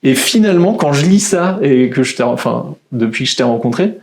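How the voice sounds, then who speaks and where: A man in his thirties co-hosting a podcast, talking in a halting way with many restarts. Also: medium pitch, lively intonation, creaky, a little hiss or hum. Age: 30s. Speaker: podcast co-host. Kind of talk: casual interview chat.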